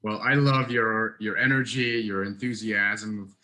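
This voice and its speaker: Brief: man in his thirties from Russia, therapist host, measured delivery, lowish voice. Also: steady tone, bright, forceful tone, poor audio. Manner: measured, many fillers and restarts. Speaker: psychologist and podcast host. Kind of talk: casual interview chat.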